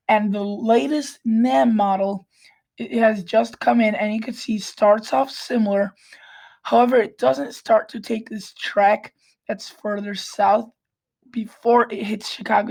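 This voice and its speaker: A woman with a raspy voice, hoarse from a cold, walking through weather models in a casual forecast with restarts and throat clearing. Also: medium pitch, darkish tone, rough recording. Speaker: weather vlogger.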